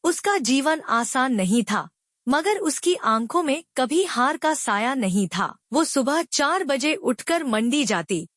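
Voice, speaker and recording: A woman narrating a cartoon moral story in scripted, expressive storybook narration. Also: sits high, a little slow, no echo, studio quality.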